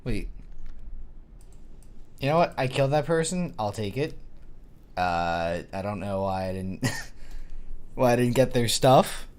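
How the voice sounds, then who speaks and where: A man with a somewhat low voice, roughened and hoarse from being sick, talks over his gameplay in a loose, unscripted way. He pokes fun at himself and thinks out loud. Small victories make him perk up. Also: slow pace, pauses often. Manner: self-mocking, easily excited, thinks aloud. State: hoarse from a cold. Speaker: gaming YouTuber. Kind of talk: casual gameplay commentary.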